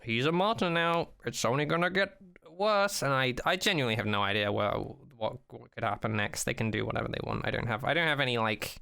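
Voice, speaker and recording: A man co-hosting a podcast, chatting casually and opinionatedly, acting out mock quotes in an animated way and swearing freely. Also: medium pitch, quick pace, vocal fry, few pauses, clear, resonant tone. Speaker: podcast co-host. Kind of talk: casual chat, opinionated rant about a TV show.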